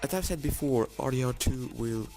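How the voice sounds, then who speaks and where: A man with a raspy, hoarse voice from being sick, narrating an informal game preview for viewers with enthusiasm. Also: medium pitch, fairly quick, a little mellow, soft background music. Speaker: gaming YouTuber.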